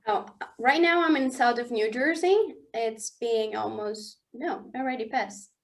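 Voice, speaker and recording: Halting woman, fairly high voice. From southern Germany. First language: Swiss German. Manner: halting, searching for English words, laughs often. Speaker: interview guest. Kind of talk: casual interview chat. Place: indoor studio under lights.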